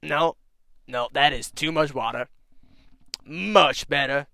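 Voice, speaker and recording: Male comic instructor, theatrical and folksy, higher-pitched with vocal fry, noisy background. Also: slow pace, pushed and bright, dry recording, studio quality.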